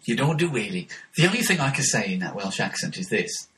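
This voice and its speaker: A man's voice: fairly low pitch, sing-song, a little fast, fairly bright tone. A man co-hosting a comedy podcast, teasing and often laughing. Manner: giggly, teasing. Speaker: podcast co-host. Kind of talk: casual comedy chat.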